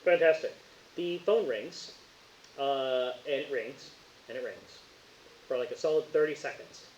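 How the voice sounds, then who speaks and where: A man running a tabletop game as game master, narrating casually and haltingly with lots of filler words. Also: medium pitch, expressive, a little mellow. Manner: halting, informal, frequent "like". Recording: echoey room, muffled, faint hiss.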